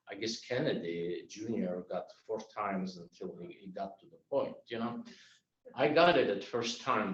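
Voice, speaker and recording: Earnest Armenian-born man, fifties, lowish voice; echoey, dull video call sound. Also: distant mic. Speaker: club member giving a speech. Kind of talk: personal speech at a club meeting. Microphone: video call mic.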